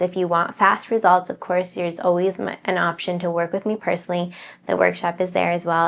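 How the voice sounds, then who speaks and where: A woman's voice: lowish voice, vocal fry. A woman in her thirties, a manifestation coach, speaking straight to her viewers in an earnest, emphatic, encouraging way and repeating key phrases. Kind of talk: direct-to-camera motivational talk.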